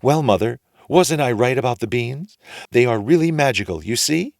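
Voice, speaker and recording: Man as a polite, cheeky, higher-pitched storybook boy. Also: lively intonation, normal speed, vocal fry, no echo, studio quality. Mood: pleased. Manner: pleading, polite, lilting. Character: polite, cheeky, hungry young boy, bold as brass.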